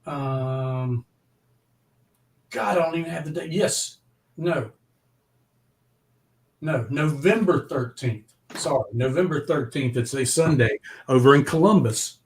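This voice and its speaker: Male live-show host, slightly high voice, slangy and excitable, swears freely in loose chat. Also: takes it slowly, pauses often, breathy edge. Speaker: music show host and band member.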